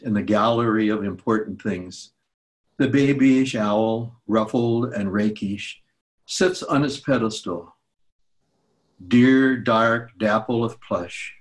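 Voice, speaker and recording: A man reading poetry aloud in a measured, deliberate way with pauses between phrases. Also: medium pitch. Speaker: poetry reader at a group reading.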